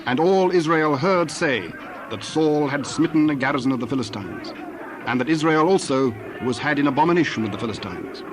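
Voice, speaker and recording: A man's voice: a little high, lively intonation, brisk, noisy background. A man narrating a dramatized Bible reading in a scripted storytelling style.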